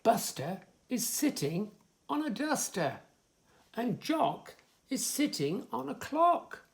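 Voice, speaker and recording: A flustered storybook dog, as a male reader puts him on, explaining patiently in exclamations. The voice swings widely in pitch and has a gravelly creak. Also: very animated, slow pace, full character voice. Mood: amazed. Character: flustered, patient dog looking after many unruly puppies.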